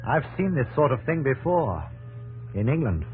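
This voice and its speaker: An actor playing a confident but guarded, homesick young English engineer with polite, clipped answers in a 1950s radio drama. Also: male voice, medium pitch, sing-song, moderate pace, darkish tone, natural voice, poor audio. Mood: uneasy. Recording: 1950s radio, faint noise and hum.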